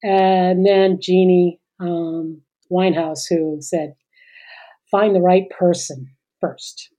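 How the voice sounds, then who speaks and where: A woman's voice: fairly low pitch, steady tone, slow, warm tone. A middle-aged woman co-hosting a podcast in casual chat, talking hesitantly with many fillers and trailing sentences. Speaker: podcast co-host.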